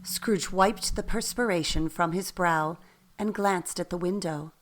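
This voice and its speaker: A woman narrating a radio play in an expressive, deliberate storytelling manner, with faint noise in the background. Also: lowish voice, poor audio.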